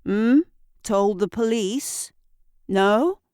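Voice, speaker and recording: A woman's voice: medium pitch, extremely slow, darkish tone, clean tone, no room sound, voice pushed up. Audio drama role: taunting, pushy male neighbour, needling and smug, played by a woman narrator, dry studio sound. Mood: mocking, needling. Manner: mocking, needling.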